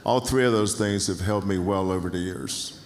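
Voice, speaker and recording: A man's voice: low-pitched, moderate pace, a little hiss or hum. A man in his sixties from North Carolina, a basketball coach, telling folksy stories in an onstage interview and often restarting phrases. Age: 60s. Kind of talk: onstage interview at an awards banquet.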